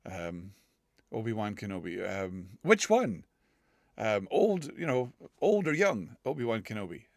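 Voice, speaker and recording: A British man chats casually between quick accent attempts, joking at his own expense. His pitch swings up and down as he talks, and the recording is close and dry with no room sound. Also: big pitch swings, dry recording. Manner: self-mocking, laughs often, switches in and out of accents. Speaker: voice-challenge vlogger.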